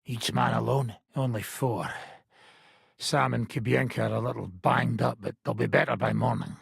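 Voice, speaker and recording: An actor playing a gruff, sardonic, middle-aged medieval mercenary leader in a video game, blunt and commanding, with a raspy, gravelly voice. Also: male voice, medium pitch, steady tone, moderate pace, dry recording, clean studio sound, heavily acted. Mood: weary, grim. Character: gruff, foul-mouthed ageing medieval mercenary band leader.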